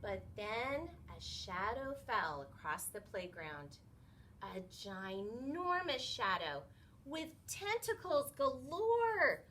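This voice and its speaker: A woman reading a children's story aloud in an expressive, teacherly way, pausing to put questions to her listeners. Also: fairly high pitch, slight background noise.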